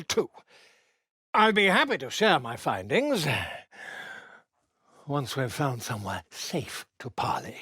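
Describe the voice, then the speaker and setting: An actor voicing a flamboyant, pompous male writer and bard in a video game, speaking in a theatrical, florid, flattering way. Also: extremely high pitch, no room sound, clean studio sound, full character voice.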